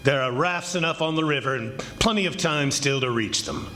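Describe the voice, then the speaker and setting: A man's voice: medium pitch, fairly quick, sharp tone, natural voice. A man playing a defiant, confident plantation owner in 1940s radio drama style, barking orders emphatically. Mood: resolute. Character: defiant, commanding male plantation owner battling ants. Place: live stage performance at a college.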